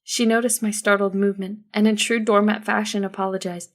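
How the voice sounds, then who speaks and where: A woman's voice: medium pitch, level tone, fairly quick. A woman reading scripted first-person story narration as an audiobook narrator.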